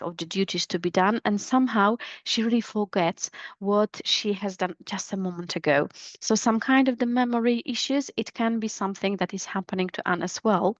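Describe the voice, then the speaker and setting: A woman's voice: mid-range voice, dry recording, dull audio. A woman with a Polish accent, a business English trainer explaining a topic on her podcast in a thoughtful way, with many fillers and hedges.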